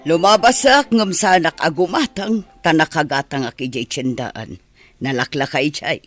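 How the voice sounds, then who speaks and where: A woman's voice: deep voice, measured pace, a bit bright, faint music behind. A woman with an Ilocano Filipino accent playing a blunt, quarrelsome village neighbour in a radio drama. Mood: blunt, dismissive.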